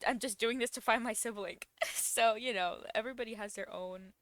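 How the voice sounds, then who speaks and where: A woman's voice: fairly high pitch, fairly quick, a bit bright, dry recording. A woman giving casual, slangy, playful commentary as she plays a game, close on the mic. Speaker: gaming content creator reacting to a story quest.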